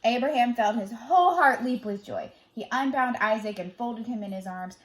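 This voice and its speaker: A woman reading a children's Bible story aloud as a storyteller, dramatic in delivery, moving between emphatic and hushed phrasing. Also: on the high side, normal speed.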